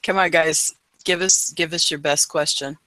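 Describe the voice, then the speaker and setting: A middle-aged woman from Oklahoma talks through a relaxed chat show, telling drawn-out stories and laughing often. Her voice sits bright and forward. The sound is dry and close. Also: low-pitched, no room sound. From Oklahoma, USA. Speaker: co-host of a paranormal chat show. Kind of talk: casual chat.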